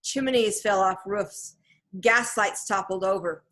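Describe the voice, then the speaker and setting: A woman reading scripted narration from a children's novel in a measured, expressive storytelling manner. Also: medium pitch. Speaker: storybook reader.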